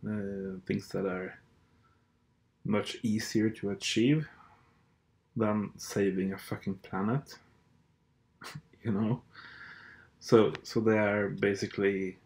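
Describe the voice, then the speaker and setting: Slow-talking Norwegian man, low voice. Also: vocal fry, darkish tone. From Norway. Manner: hesitant, blunt, sardonic, with frequent fillers and restarts. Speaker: podcast host. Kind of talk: casual monologue.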